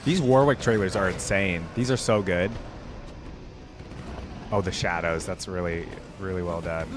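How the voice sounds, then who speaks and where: A man with a fairly low voice gives casual reaction commentary as a host. He sounds enthusiastic and wanders into asides, with music playing behind him. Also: a little slow.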